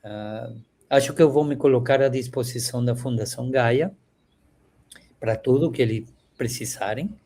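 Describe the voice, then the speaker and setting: Venezuelan man, halting reflective guest. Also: mid-range voice. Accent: Venezuelan Spanish. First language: Spanish. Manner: warm, halting, frequent filler "este". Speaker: interview guest. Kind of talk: reflective conversational interview.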